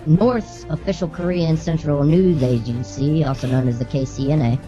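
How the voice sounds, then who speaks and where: A woman's voice: deep voice, normal speed. A brash, bossy woman co-hosting a show, reading the news with casual comic banter and swearing. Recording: slightly muffled, music underneath.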